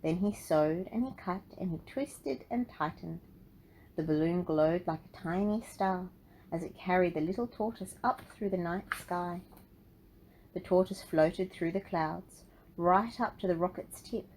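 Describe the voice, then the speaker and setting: Low-pitched woman reading a storybook. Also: a little slow, darkish tone, a little hiss or hum, poor audio. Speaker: children's book narrator. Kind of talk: storybook read-aloud for children.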